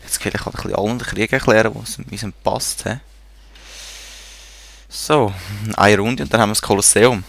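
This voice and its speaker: A man's voice: lowish voice, steady tone, fairly quick, creaky, pauses often, fairly bright tone. A man with a Swiss German accent giving casual commentary while playing a strategy game, muttering to himself and talking to the units on screen. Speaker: let's play gamer.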